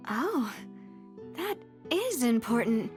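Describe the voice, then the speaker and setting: Spirited young female boss for a video game: high-pitched, animated, gracious, assured. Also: slow, over music. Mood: thoughtful. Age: young adult. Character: young lady boss of a syndicate, warm, confident, spirited.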